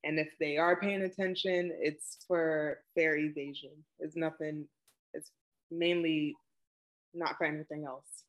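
A woman, answering questions in a relaxed oral history interview over a video call, with plenty of ums and likes. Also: deep voice, measured pace, low-quality recording. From Brooklyn, New York. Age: 20s.

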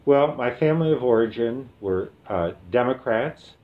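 A retired man in his seventies from the American Midwest, reminiscing slowly in an oral history interview with many pauses and fillers. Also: medium pitch, lively intonation, phone-quality sound. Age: 70s. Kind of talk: relaxed reminiscing with reading aloud.

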